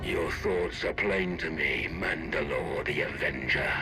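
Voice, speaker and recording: An actor playing a menacing, grandiose Sith lord with slow, brooding oratory in a video game. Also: male voice, extremely low pitch, pushed and bright, soft background music, exaggerated voice. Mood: menacing, probing. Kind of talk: dramatic video game voice acting.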